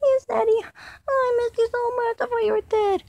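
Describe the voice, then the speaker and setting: Woman doing a squeaky little boy dinosaur. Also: steady tone, moderate pace, creaky, a little mellow, dry recording. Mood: overjoyed. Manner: whiny, weepy.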